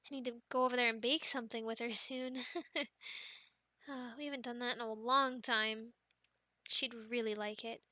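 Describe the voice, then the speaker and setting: A young woman in an ASMR girlfriend roleplay, chatting softly and wandering from thought to thought with little laughs. Her voice is bright and a touch high. It is recorded close and dry. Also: fairly even intonation, normal speed, dry recording. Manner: soft, chatty and rambling, with many ums and light laughs.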